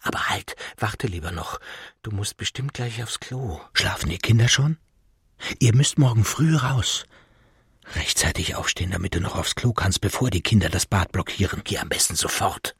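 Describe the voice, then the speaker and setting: Deep, husky forgetful old professor. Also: male voice, expressive, normal speed, a bit bright, no room sound, studio quality, heavily acted. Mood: muttering, uneasy. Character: forgetful retired old professor, crude, self-pitying inner voice. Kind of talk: literary second-person monologue.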